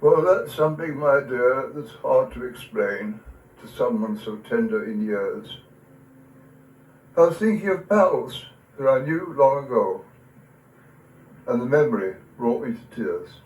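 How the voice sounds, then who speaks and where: A man as elderly war veteran grandad, reflective verse drama, emotional, expressive intonation, recorded at a distance. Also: medium pitch, measured pace, close to an everyday voice. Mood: wistful, tender. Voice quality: quivering, emotional voice. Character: tender old grandfather, a wistful war veteran. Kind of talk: dramatic poetry recital. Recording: echoey room, distant from the mic.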